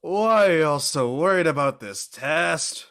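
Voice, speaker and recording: A goofy, laid-back teenage boy athlete voiced by an actor in an audio drama. The pitch swings widely as he jokes in loose slang. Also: animated, a little slow, fairly bright tone. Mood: puzzled. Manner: slangy, joking. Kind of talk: online dramatic table read.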